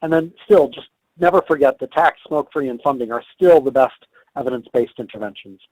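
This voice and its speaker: A man's voice: medium pitch, fairly quick, no room sound, muffled recording. A man losing his voice, giving a webinar talk and answering questions in a conversational way with many fillers and restarts. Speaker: tobacco control advocate presenter.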